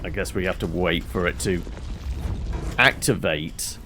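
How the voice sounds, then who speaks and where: A man's voice: low voice, unhurried, noisy background. A man giving wry, rambling casual commentary as he plays a game, thinking aloud. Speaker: game streamer.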